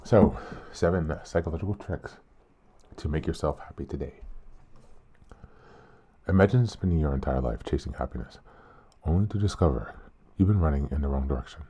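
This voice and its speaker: A man with an extremely low, dark and mellow voice reads aloud for his self-help podcast. He is a bit sick and slightly hoarse, and keeps a calm, earnest, unhurried delivery. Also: lo-fi sound. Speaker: podcast host. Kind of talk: reflective podcast reading.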